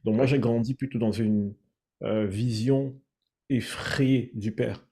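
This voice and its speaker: A man from Cameroon, sharing his personal story in a thoughtful, measured way. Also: medium pitch, steady tone, warm tone, breathy edge. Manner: thoughtful, measured, searching for words. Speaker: guest sharing his testimony. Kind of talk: reflective personal testimony.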